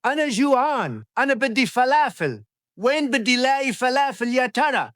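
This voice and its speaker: A man's voice: very high-pitched, sing-song, very fast, a bit bright, dry recording, studio quality, full character voice. A man voicing a hungry, simple character in a language-learning story. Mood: eager, hungry.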